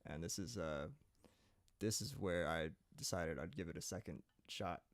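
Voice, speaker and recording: A young man from Saipan, a singer-songwriter guest, telling his story in a relaxed, rambling way with many fillers. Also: deep voice, normal speed, no echo. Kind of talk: casual interview chat.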